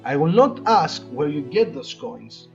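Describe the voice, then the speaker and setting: A man's voice: on the high side, very animated, measured pace, creaky, voice pushed down, heavily acted. A man voicing a curt, suspicious female shop owner in a visual novel, scolding and dismissive. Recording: muffled lo-fi audio with music.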